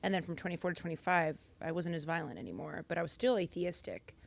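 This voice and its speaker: A young woman from Massachusetts, a podcast guest telling her story in casual chat, animated and louder for emphasis, on a studio boom mic. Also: quick pace, gravelly, no echo. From Massachusetts, United States. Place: podcast studio with purple lighting.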